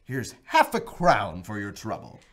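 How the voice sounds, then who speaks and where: An actor playing an elderly miser who has turned giddy and generous, in theatrical radio-drama style with frequent laughter. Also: male voice, lowish voice, wildly swooping pitch, a little slow, a bit bright, exaggerated voice. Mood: gleeful. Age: older.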